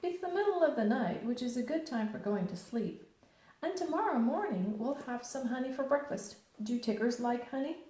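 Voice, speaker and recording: A woman voicing a mild, kindly, puzzled male toy bear in a children's storybook, in a gentle, simple way. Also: sits high, animated, normal speed, pitched above the performer's own voice. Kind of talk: children's storybook read-aloud. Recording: echoey room.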